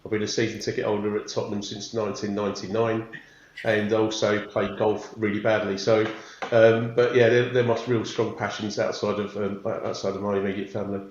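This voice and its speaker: A man's voice: fairly low pitch, little pitch movement, brisk, slight background noise. A man in his forties from England, friendly and proud as he talks about his family and interests as a podcast guest. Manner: friendly, proud, self-deprecating. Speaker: podcast guest, business leader. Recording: very echoey room, dull audio.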